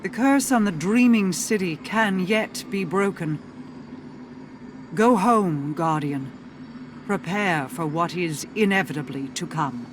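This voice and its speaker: A woman's voice: lowish voice, takes it slowly, noisy background. A woman voicing a stern, calculating queen in a video game, speaking in a formal, imperious manner. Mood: composed, solemn.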